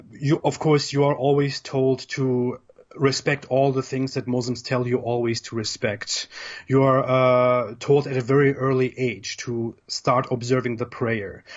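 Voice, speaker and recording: A man's voice: medium pitch, lo-fi sound. A man from Germany with a German accent, a guest talking over a video call, laughing easily and often repeating words as he starts a sentence.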